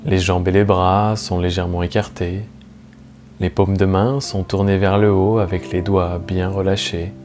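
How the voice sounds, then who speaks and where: A low male voice leads a guided meditation. He speaks slowly and soothingly, leaving long, measured pauses between phrases. Soft plucked guitar music plays faintly behind him. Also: little pitch movement. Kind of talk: guided relaxation narration.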